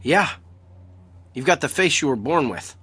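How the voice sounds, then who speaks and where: An American actor playing a troubled, brusque office executive, terse and probing, with sardonic irony in a naturalistic drama. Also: male voice, fairly high pitch, sing-song, a little slow, background noise. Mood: probing, tense.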